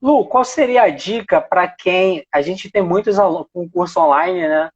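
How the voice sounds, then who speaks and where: A man's voice: sits high, very animated, measured pace, breathy edge, lo-fi sound. A man hosting a live online chat for a dubbing school, friendly and asking his guest questions.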